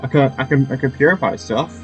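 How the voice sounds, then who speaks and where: A man giving casual, off-the-cuff let's play commentary, halting and repeating his phrases as he goes. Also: mid-range voice, lo-fi sound, soft background music. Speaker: gaming video host.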